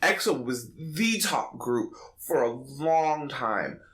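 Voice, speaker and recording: A man's voice: mid-range voice, lively intonation, takes it slowly, a bit bright. Sassy man, slangy podcast host. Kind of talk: opinionated casual podcast chat.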